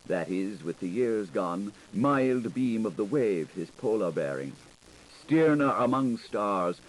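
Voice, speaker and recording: A man's voice: fairly low pitch, darkish tone, slightly rough, poor audio. A man narrating a literary audiobook in a theatrical, playful way, with shifting emphasis, on an old tape recording. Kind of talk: literary audiobook reading, dramatic scripted narration. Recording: hissy 1980s audiobook tape.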